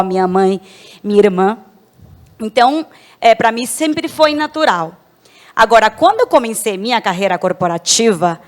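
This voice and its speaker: A woman with a Venezuelan accent, answering reflectively as a panelist in a discussion. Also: fairly high pitch. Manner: reflective, personal.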